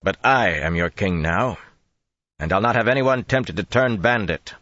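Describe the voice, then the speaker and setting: A man's voice: lowish voice, animated, moderate pace, pushed and bright, no echo, lo-fi sound, voice pushed up. A man voicing a stern young king who speaks with firm authority, as in an audiobook. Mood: commanding. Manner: demanding, authoritative.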